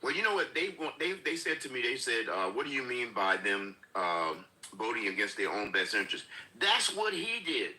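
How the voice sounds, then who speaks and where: Bright, lively man who keeps restarting. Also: mid-range voice, normal speed, hardly any pauses, poor audio. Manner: earnest, stammering restarts. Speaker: caller. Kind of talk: casual political chat.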